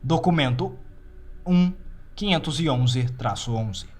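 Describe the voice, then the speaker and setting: A man with a Brazilian accent narrating a scripted fictional report in a measured, formal, clinical manner. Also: fairly high pitch, animated, darkish tone, faint music behind. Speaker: narrator of horror fiction files.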